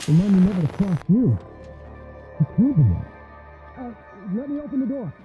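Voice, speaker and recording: A high-strung crewman in a sci-fi game, sounds rattled. His voice is very high and moves around a lot, with music clearly behind it. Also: very animated, normal speed, dull audio. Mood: awed, uneasy. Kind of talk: video game drama acting.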